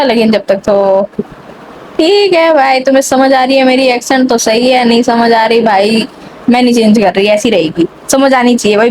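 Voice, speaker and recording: A woman's voice: on the high side, darkish tone, a little hiss or hum, low-quality recording. A young woman vlogger ranting casually to camera in a sarcastic, chatty way, with a mixed English accent she picked up online.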